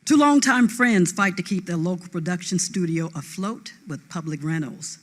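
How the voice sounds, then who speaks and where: Man, high voice, scripted synopsis, award presenter, echoing hall. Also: normal speed. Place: theatre stage. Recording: reverberant hall.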